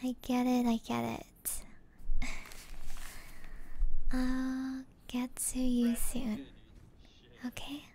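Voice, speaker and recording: A woman playing a sweet, affectionate young gamer girlfriend in a soft-spoken, gentle, hesitant ASMR roleplay. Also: high voice, monotone, extremely slow, long pauses, heavily acted. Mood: soft, apologetic. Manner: gentle, cooing, giggly, hesitant.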